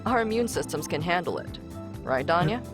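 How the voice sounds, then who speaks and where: Friendly female crewmate, sci-fi visual novel, animated pitch, curious, chatty, clear music underneath. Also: brisk. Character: friendly, inquisitive female spaceship crew member. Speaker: game character.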